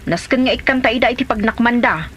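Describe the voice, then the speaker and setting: A woman's voice: a little high, fast talker, a bit bright, a little hiss or hum, natural voice. A woman acting as a touchy, gossipy wife and mother in an Ilocano comedy drama. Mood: indignant. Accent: Filipino, Ilocano.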